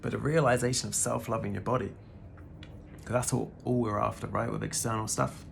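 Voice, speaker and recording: A man's voice: medium pitch, steady tone, fairly quick, vocal fry, slight background noise. A man in his thirties giving a casual, laid-back spiritual talk to the camera, often calling the listener "man" and swearing now and then. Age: 30s. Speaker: spiritual vlogger.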